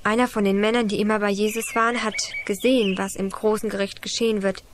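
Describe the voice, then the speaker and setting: A woman's voice: mid-range voice, little pitch movement, fast, noisy background, close to an everyday voice. A female performer playing an earnest, devout child who explains things in a children's radio play. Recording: 1980s radio play, birdsong behind.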